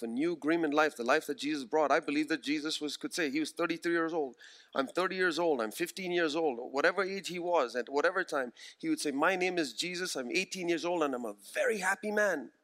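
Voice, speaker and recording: A man in his forties preaches with an earnest, emphatic delivery, slowing down to repeat his key phrases. His voice sits a little higher than most men's. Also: fairly bright tone. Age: 40s. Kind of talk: sermon.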